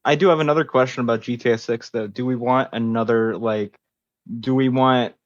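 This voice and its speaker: Dude on a gaming podcast, kinda muffled lo-fi mic, casual and sweary, normal pitch. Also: male voice, fairly even intonation, fairly quick. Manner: informal, sweary. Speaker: podcast co-host. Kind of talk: casual chat about video games. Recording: dull, lo-fi microphone sound.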